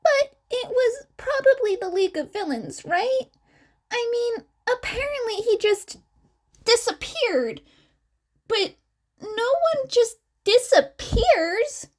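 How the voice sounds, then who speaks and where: Storybook teenage boy, played by a woman: very high, animated, hesitant, excitable and stubborn. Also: slow pace, full character voice. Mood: sheepish, rambling.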